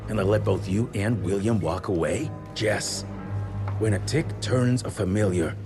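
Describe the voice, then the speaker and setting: An actor playing a gruff, seasoned male hunter who talks bluntly and steadily. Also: fairly low pitch, moderate pace, lightly acted. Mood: grave. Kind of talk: game cutscene acting. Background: music score.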